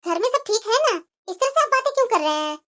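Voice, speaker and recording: Woman acting as young cartoon housewife, very high bright voice, plaintive, dutiful, hurt. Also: expressive, fast talker. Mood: concerned. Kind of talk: Hindi moral-story cartoon dialogue.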